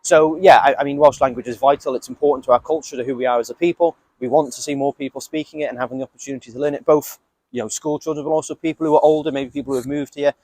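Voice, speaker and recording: Fast-talking male political candidate. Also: mid-range voice, fairly even intonation, darkish tone, no echo, poor audio. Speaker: election candidate. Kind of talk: interview answer.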